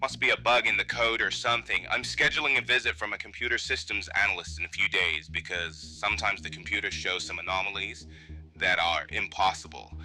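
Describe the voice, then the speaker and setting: A man playing a pragmatic, harried computer technician in a horror film, explaining things in a measured, slightly defensive way. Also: on the low side, sharp tone. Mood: puzzled, matter-of-fact. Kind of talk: found-footage horror film acting.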